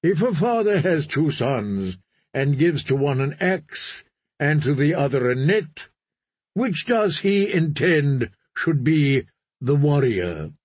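A man voicing a fervent male priest of a sea god, preaching in a slow, solemn way. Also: fairly high pitch, big pitch swings, darkish tone, slightly rough, no room sound, exaggerated voice.

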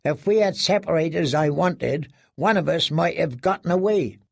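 Man doing a cowering male prisoner, high, strained, pleading, cracked. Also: lively intonation, moderate pace, gravelly, no echo, clean studio sound. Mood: fearful. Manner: whimpering, pleading. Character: broken, terrified young male captive.

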